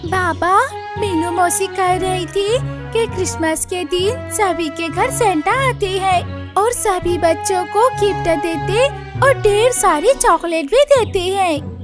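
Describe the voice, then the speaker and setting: Woman voicing an eager, high-pitched little girl bird. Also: takes it slowly. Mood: hopeful, curious. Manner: childlike, eager. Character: excited little girl bird, innocent and hopeful. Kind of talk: Hindi cartoon story voice acting. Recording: music behind the voice.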